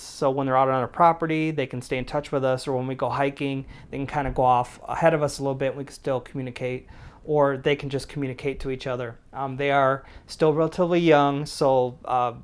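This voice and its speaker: A man's voice: medium pitch, steady tone, fairly quick, clean tone. A middle-aged man hosting a casual product review, talking in a plain-spoken, conversational way. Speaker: prepper channel host and product reviewer.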